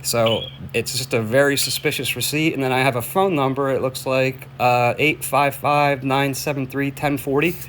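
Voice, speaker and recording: Male caller making a complaint by phone, mid-pitched, halting and repetitive, insistent, with light noise on the recording. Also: fairly even intonation, fairly bright tone. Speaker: caller reporting a suspicious order to police. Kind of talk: phone conversation, explaining a complaint. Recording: noisy phone call recording.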